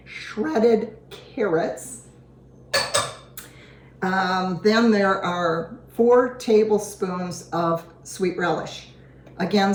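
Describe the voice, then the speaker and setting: A hoarse woman talks slowly and easily while demonstrating a recipe, chatting in a homey way as she goes. Her voice bounces around an echoey kitchen. Dishes and pots clatter behind her. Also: medium pitch, a little hiss or hum. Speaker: cooking show host. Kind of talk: casual cooking demonstration. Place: home kitchen. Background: dishes and utensils clinking.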